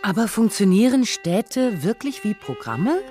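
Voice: medium pitch, very animated, measured pace, warm tone, soft background music. A narrator with reading a scripted, essayistic radio feature in a pointed, literary manner.

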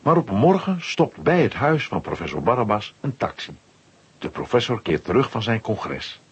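A man's voice: medium pitch, big pitch swings, moderate pace, husky, highs cut off. A man narrating a children's audio drama in scripted storytelling style, addressing listeners directly and playfully.

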